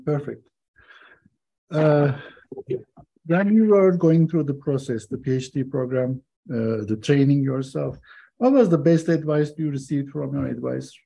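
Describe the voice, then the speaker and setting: A man's voice: a little high, measured pace, vocal fry, warm tone, breathy edge. A man interviewing a guest, asking rambling questions that he piles on top of each other. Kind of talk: conversational interview. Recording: dull, muffled audio.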